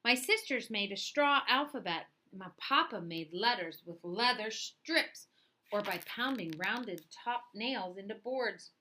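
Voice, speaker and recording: A woman narrates a children's story in a bright, forward voice at a middling pitch. Her delivery is expressive and deliberate, shaping each phrase dramatically as a read-aloud storyteller would. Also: poor audio. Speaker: storyteller reading a picture book aloud.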